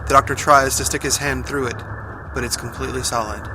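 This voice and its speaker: A man's voice: mid-range voice, fairly even intonation, fairly quick, background noise, close to an everyday voice. A man narrating the action of an audio drama in scripted present-tense storytelling. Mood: descriptive. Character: male narrator describing the action.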